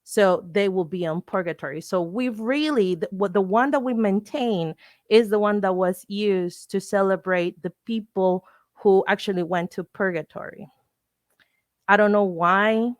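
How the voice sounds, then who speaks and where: A Mexican-accented woman, a native Spanish speaker, presents an informal lesson. Her voice sits at an average pitch for a woman. She explains step by step, often pausing to find the word, and the sound is dry, with little room in it. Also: dry recording. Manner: explanatory, halting, searching for words. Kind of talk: informal educational talk with slides.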